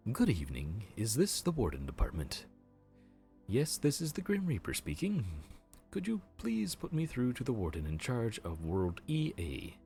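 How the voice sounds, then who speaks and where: A man's voice: mid-range voice, wildly swooping pitch, normal speed, mellow, soft background music. A man voicing a weary male grim reaper who runs the underworld queue, a sarcastic and exasperated bureaucrat. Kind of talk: audio roleplay.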